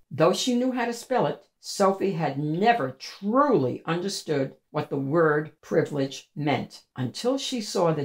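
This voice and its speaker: Expressive woman reading a children's story. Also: sits low. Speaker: picture-book reader. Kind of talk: scripted read-aloud children's story narration. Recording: echoey room sound.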